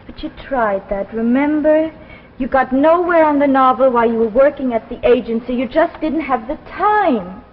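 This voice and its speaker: An actress playing a devoted, encouraging young wife in a 1950s anthology drama, speaking in an earnest, coaxing way. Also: female voice, sits high, lively intonation, moderate pace. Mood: pleading. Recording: muffled, echoey 1950s broadcast recording.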